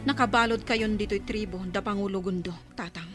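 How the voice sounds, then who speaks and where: A woman's voice: medium pitch, fairly quick, music in the background, lightly acted. A woman with a Filipino accent playing a stern, principled young tribeswoman, a chieftain's daughter, in a radio drama.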